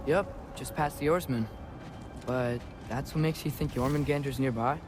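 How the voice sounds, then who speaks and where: A man's voice: medium pitch, steady tone, a little slow, background noise, natural voice. A young male actor playing an earnest, stubborn, cheeky teenage boy in a video game, talking casually. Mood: teasing, casual. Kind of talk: video game cutscene acting.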